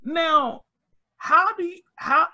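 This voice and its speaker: A man hosting an interview, talking in an animated and enthusiastic way. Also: very high-pitched, takes it slowly. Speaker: interview host. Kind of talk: conversational interview.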